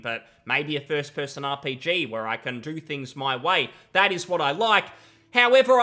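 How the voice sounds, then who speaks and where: A young man with a fairly high, bright voice delivers gaming commentary into a close desk mic. He is critical and emphatic, openly disapproving as he argues his opinion. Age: young adult. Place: small room at home. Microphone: close desk microphone, headset on.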